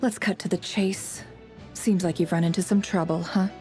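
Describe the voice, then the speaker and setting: A woman's voice: lowish voice, steady tone, moderate pace, mellow, faint music behind, natural voice. A woman voicing a shrewd, sardonic intelligence boss in a video game, composed and dry. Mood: cool, businesslike.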